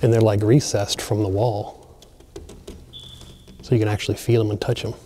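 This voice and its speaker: A man excitedly narrating a walkthrough of an empty mansion, chatting to viewers as he films. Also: lowish voice, moderate pace, slight background noise. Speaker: abandoned places explorer. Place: large empty mansion, indoors.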